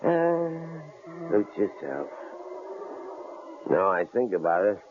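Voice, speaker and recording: A man's voice: lowish voice, sing-song, takes it slowly. An actor playing a menacing, cold-blooded male intruder in an old radio drama, taunting and leering. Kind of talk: 1940s radio acting. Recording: tinny old radio broadcast with faint hiss.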